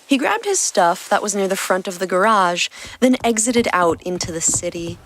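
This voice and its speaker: A woman's voice: medium pitch, animated, normal speed, a bit bright, slight background noise. A woman reading scripted fiction as the narrator of an audio story. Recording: music behind the voice. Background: background music.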